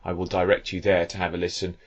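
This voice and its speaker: Hoarse, low-voiced football podcast host. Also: male voice, completely flat delivery, dull audio. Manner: earnest, measured, with frequent fillers. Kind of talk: casual football podcast chat.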